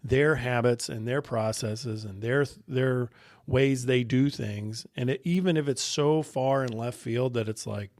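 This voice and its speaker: Guy cohosting a podcast, middle voice, thoughtful and even, super dry mic. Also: medium pitch, measured pace, dry recording. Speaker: podcast co-host. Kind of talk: casual podcast chat.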